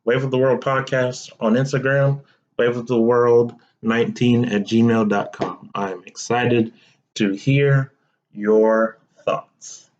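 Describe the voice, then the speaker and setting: Slow-talking young man hosting a podcast. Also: medium pitch, highs cut off. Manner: relaxed, conversational, asks listeners directly. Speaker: podcast host. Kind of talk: casual solo podcast talk.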